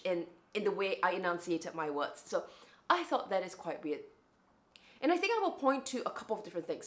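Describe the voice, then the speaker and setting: Woman with a light Singaporean accent. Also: on the high side. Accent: lightly Singaporean English, internationally smoothed. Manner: chatty, with frequent restarts and self-corrections. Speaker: vlogger. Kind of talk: casual reflective monologue.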